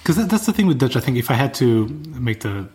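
A man's voice: mid-range voice, very animated, fast talker, a little mellow. A young adult man whose first language is Portuguese, chatting casually and enthusiastically, often restarting his sentences. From Brazil or Portugal. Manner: enthusiastic, rambling, frequent restarts. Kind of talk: casual chat.

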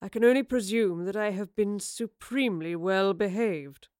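A woman's voice: mid-range voice, normal speed, darkish tone, clear, resonant tone, no room sound, clean studio sound, lightly acted. A woman voicing a languid, witty male aesthete with a pompous, drawling, ornate manner in an audiobook. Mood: groaning, wry. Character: languid, witty, theatrical gentleman aesthete, grandly polite.

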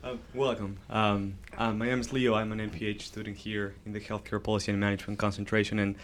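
A young man from Argentina, a student, puts a long question to a guest. His voice sits low and stays flat, and his polite delivery wavers now and then with "um" and "uh". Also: fast, slight background noise. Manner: polite, hesitant, with fillers. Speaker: graduate student asking a question. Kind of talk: audience question.

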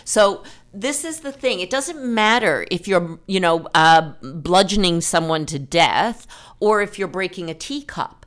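A woman's voice: on the low side, animated, a little slow, a bit bright. A woman hosting a casual literary discussion, explaining her ideas with emphasis. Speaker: host of a fiction discussion podcast.

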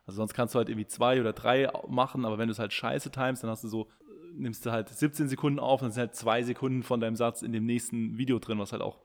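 Man co-host, mid pitch, rambly and filler-heavy, talking into a podcast mic. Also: fairly even intonation, quick pace. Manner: rambling, many fillers and restarts. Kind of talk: casual chat. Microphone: podcast microphone.

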